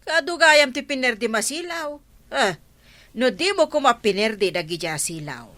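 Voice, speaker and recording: A woman's voice: on the high side, expressive, moderate pace, a bit bright. A woman acting a stern, matter-of-fact village woman in a comedic radio drama, speaking in a firm, admonishing way.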